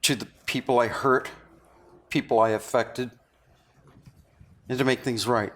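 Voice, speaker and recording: An actor at a table read playing a remorseful, philosophizing man, with a halting, confessional and earnest manner. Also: medium pitch, fairly even intonation, fairly bright tone, lightly acted. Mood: apologetic. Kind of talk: read-through of a stage drama script. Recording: clearly noisy room recording.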